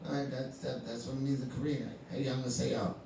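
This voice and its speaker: A comedy show host doing crowd work, talking in a halting way and repeating words as he finds them. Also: medium pitch, level tone, gravelly, background noise. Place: comedy club stage. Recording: echoey club from far off.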